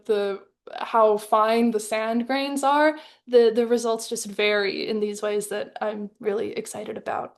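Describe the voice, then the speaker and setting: Higher-pitched woman, thoughtful and measured. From Hong Kong. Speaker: artist in residence presenting her animated film. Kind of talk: prepared artist talk, partly read. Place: live online webinar. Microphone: video call.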